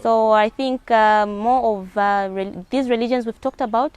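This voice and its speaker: A woman's voice: on the high side, steady tone, moderate pace, a little mellow, clean tone. A woman with a Zambian accent giving earnest answers as a guest in a spoken interview. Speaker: interview guest, hijab advocate.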